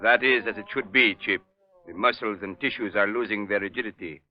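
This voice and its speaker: An actor playing a proud, bitter mad scientist in a 1930s radio mystery, clipped, precise and pompous. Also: male voice, on the low side, fairly quick, close to an everyday voice. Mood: cold, brisk. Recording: muffled 1930s radio recording.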